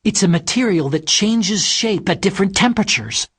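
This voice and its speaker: Bright, brainy tech expert. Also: male voice, sits high, lively intonation, normal speed, husky, dry recording, heavily acted. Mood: instructive. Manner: explanatory, precise. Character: brainy, eager technical expert guiding an agent by radio. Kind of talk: video game voice acting.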